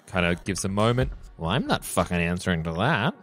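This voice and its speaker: A man's voice: sits low, sing-song, fairly quick, fairly bright tone, faint music behind. A man playing a tabletop game, casually joking and describing what his character does.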